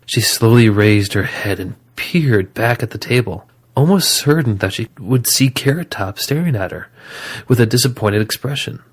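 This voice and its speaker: A man's voice: medium pitch, animated, moderate pace, slightly rough. A man reading scripted story narration as the narrator of an audio drama.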